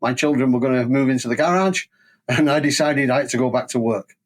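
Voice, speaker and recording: An older man speaks with a Manchester English accent at an average pitch. He is a podcast guest recounting his working life in a conversational, storytelling way. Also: fairly quick. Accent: Mancunian English. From England. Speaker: podcast guest, safety training company president.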